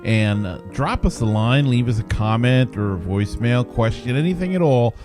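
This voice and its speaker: A man's voice: mid-range voice, expressive, normal speed, music in the background. Casual male sports podcast host.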